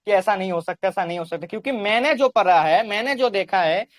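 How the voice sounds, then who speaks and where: A man's voice: high voice, brisk, no echo, clean studio sound. An Indian man arguing and explaining in a religious debate on a call, insistently repeating phrases to take the floor.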